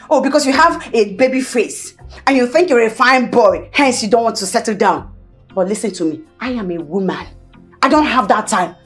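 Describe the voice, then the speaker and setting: Brief: drama, actress as a fed-up girlfriend, high animated voice, sharp scolding. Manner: scolding, mocking, emphatic. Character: frustrated young woman tired of waiting to marry. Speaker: actor. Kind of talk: Nigerian film drama acting.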